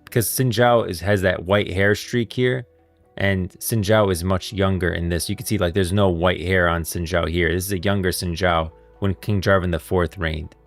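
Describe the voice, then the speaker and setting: Man, very low pitch, casual streamer commentary, rambling and emphatic, music in background. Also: fairly even intonation, moderate pace. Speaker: livestream host. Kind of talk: casual lore commentary to viewers. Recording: music playing behind.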